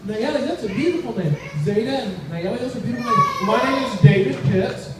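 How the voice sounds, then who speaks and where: A man's voice: extremely high pitch, lively intonation, fairly quick. A man hosting a live children's magic show, with theatrical, repetitive, teasing patter to his audience. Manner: repeats phrases, teasing build-ups to the audience. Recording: echoey, noisy, distant live recording.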